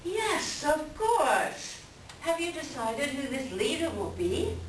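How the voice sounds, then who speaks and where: A woman playing an excitable, indignant raven with theatrical exclamations and harsh caws in a readers theater folktale. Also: high-pitched, slow, full character voice. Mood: curious. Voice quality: harsh cawing outbursts. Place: library room at a live reading. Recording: roomy, distant live recording with noise.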